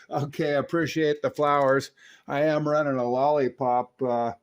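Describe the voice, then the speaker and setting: A man's voice: on the high side, level tone, a little slow, smooth. An American man chatting casually and enthusiastically over CB radio, explaining things like a keen hobbyist.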